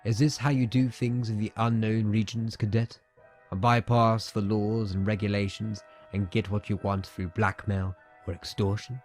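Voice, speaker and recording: An actor playing a stern, pragmatic military academy commandant, blunt and firm, in a dramatic comic dub. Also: male voice, lowish voice, little pitch movement, normal speed, soft background music, close to an everyday voice. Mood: skeptical, stern.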